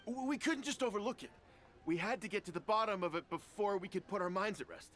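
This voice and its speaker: Nervous, high-pitched henchman. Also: quick pace, fairly bright tone, faint music behind. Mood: cowed, explaining. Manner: stammering, apologetic, defensive. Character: nervous, flustered henchman caught spying. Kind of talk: video game voice acting.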